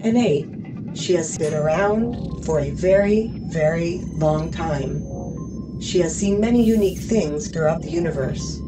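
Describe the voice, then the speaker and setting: Woman voicing a slow, measured, serene ship AI. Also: fairly low pitch, recorded from a distance, music in the background, lightly acted, poor audio. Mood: calm, reassuring. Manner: measured, precise, formal. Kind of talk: sci-fi audio drama acting.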